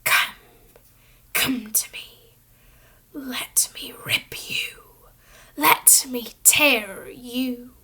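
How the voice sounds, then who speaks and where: A woman voicing a cold, venomous disembodied voice that whispers slowly and menacingly. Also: a little high, bright, forceful tone, slightly rough, full character voice. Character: disembodied hissing voice, cold and murderous.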